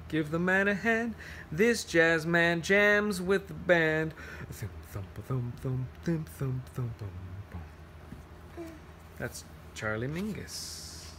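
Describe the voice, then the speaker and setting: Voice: mid-range voice, sharp tone, slight background noise. A person reading a picture book aloud to children, playful, with singsong rhymes, scat sounds and casual explanations.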